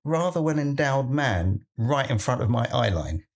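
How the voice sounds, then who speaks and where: A man's voice: mid-range voice, sing-song, normal speed, creaky. A man co-hosting a podcast with casual, dry, teasing banter. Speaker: podcast co-host.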